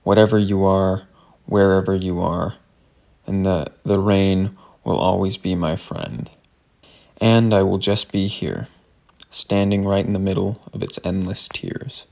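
A man reads a scripted letter aloud in an earnest, deliberate way. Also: low voice, level tone, vocal fry, a little mellow. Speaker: reader. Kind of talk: scripted dramatic reading of a letter.

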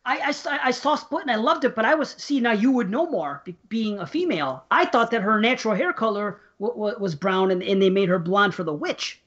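A man's voice: high-pitched, expressive, brisk, hardly any pauses, a bit bright, muffled recording. A man hosting a podcast, talking hesitantly with many stammered restarts. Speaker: podcast host. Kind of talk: casual interview chat.

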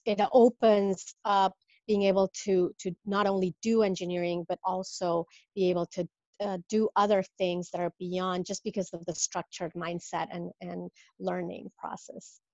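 Mexican-born woman coach, fifties, lower register, thoughtful and halting, dry muffled line. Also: little pitch movement, warm tone, no room sound. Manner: thoughtful, with many fillers and restarts. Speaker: guest, business coach. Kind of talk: casual interview.

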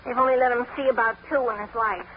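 A man's voice: extremely high pitch, steady tone, fast. A man playing a boyish, earnest teenage pal in gee-whiz 1940s radio comedy style. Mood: eager. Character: glum, eager teenage boy pal, Homer. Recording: muffled, noisy 1940s radio recording.

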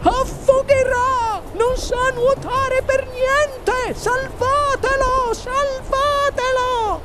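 A woman voicing a frantic, scolding mother in a storybook, with shrill, drawn-out cries. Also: big pitch swings, very noisy, exaggerated voice. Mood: panicked.

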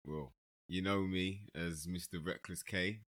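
A man's voice: low voice, fairly even intonation, unhurried, a crowd in the background. A man, a podcast guest joking with old friends in a laid-back way. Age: 30s. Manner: laid-back, jokey banter. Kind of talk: casual chat among old friends.

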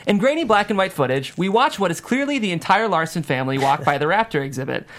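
A woman's voice: on the low side, sing-song, fast talker, a little hiss or hum. A woman narrating screenplay stage directions in a scripted table-read style.

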